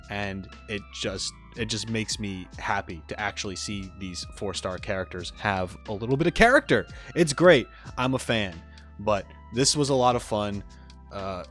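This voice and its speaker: A man's voice: on the low side, a bit bright. A man giving casual gaming reaction commentary as a video host, informal and exclamatory, thinking aloud as he goes. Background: background game music.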